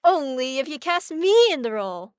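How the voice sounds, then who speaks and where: High-voiced, playful young actor Artem, voiced by a woman. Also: big pitch swings, normal speed, dry recording. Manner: playful, mock-deferential. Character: gentle, playful young actor named Artem, effeminate, teasing. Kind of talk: naturalistic radio play acting.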